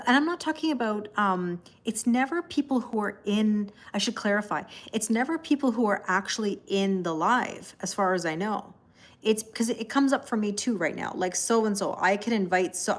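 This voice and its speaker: A woman from Canada chats her way through a tarot livestream, talking to her viewers. She rambles in loose, easygoing asides, laughing often and calling out viewers by name as she reads their comments. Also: medium pitch. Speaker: tarot reader and livestream host. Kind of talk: casual livestream chat.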